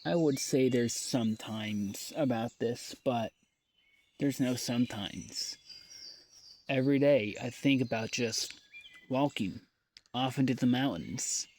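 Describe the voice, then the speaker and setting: Weary young man, slow halting diary talk. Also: mid-range voice, darkish tone, a little hiss or hum. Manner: weary, halting, trailing off with sighs. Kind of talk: personal audio diary monologue.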